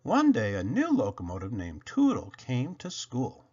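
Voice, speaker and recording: A man's voice: medium pitch, wildly swooping pitch, a little slow, darkish tone, breathy edge, lo-fi sound. A man reading a children's storybook aloud as narrator, emphatic and drawing out key words. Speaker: storybook reader.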